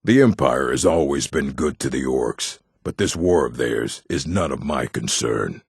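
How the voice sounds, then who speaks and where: Very deep, gravelly orc warrior. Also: male voice, expressive, a little slow, no echo, clean studio sound, heavily acted. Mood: gruff, matter-of-fact. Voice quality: gravelly, husky. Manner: blunt, stern, clipped phrasing. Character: gruff proud orc warrior and blacksmith, adult male. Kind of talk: fantasy video game character dialogue.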